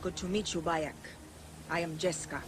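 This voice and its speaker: A woman's voice: fairly low pitch, fairly even intonation, normal speed, music in the background, close to an everyday voice. A woman playing a tough, caring rebel leader of ancient Egypt in a video game, firm and resolute. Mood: guarded. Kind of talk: video game cutscene acting.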